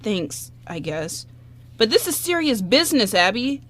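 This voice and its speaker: A woman's voice: a little high, very animated, measured pace, fairly bright tone, slight background noise. Woman acting an anxious, awkward young man. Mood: flustered pleading. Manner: flustered, earnest. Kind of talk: amateur radio play acting.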